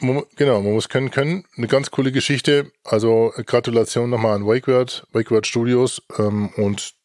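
A man hosting a podcast in a casual, jokey way, often halting and interrupting himself. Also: mid-range voice, steady tone, a little mellow, dry recording. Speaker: podcast host.

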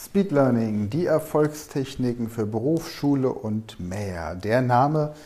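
Mellow-voiced German learning coach. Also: male voice, medium pitch, lively intonation, slow pace. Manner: enthusiastic, anecdotal. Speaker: podcast host and learning coach. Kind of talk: podcast intro and radio interview.

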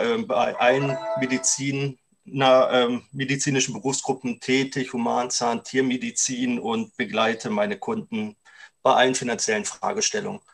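A man in his forties from Hannover works as a financial advisor. He introduces himself casually, pausing now and then to find his words. His voice sits at a plain middle pitch. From Hannover, Germany. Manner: casual, a little hesitant.

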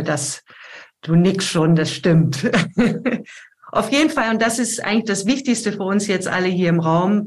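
A woman podcast host introducing her guest in a friendly way, laughing often. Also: medium pitch.

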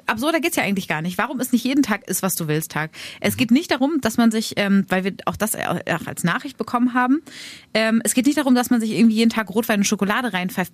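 A young woman co-hosting a casual radio chat. She is chatty and gets worked up, ranting quickly. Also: fairly high pitch, no echo. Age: young adult. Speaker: radio show host. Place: radio studio.

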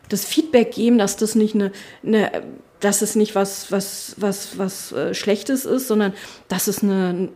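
A middle-aged woman speaks in an interview in a voice of average pitch for a woman. She talks as a politician, thoughtfully and haltingly, with many fillers and restarts as she searches for her words. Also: dark tone. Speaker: politician, spokesperson for family and education. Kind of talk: casual interview talk. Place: her constituency office.

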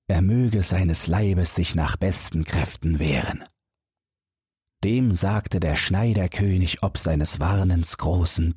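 Very husky, low-voiced male storyteller. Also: a little mellow, dry recording, studio quality. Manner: measured, storybook reading with deliberate phrasing. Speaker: audiobook narrator. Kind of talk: bedtime fairy tale narration.

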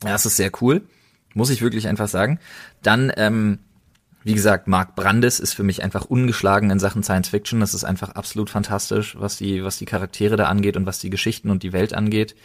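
A man with a fairly low voice and a German accent co-hosts a casual chat podcast. He talks in loose, colloquial language and leans on repeated intensifiers to stress his enthusiasm. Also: fairly even intonation, fairly quick. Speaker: podcast co-host.

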